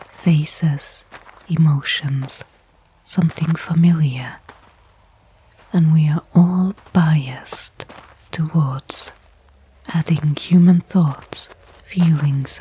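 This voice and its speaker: A woman playing a weary but hopeful stranded space worker in a whispered ASMR sci-fi roleplay, speaking in hushed, reflective narration with pauses. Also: low-pitched, breathy edge, full character voice, poor audio.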